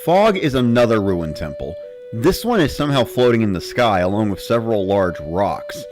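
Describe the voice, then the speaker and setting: Lively low-voiced man narrating over music. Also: moderate pace. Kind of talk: dramatic reading of a first-person gaming horror story.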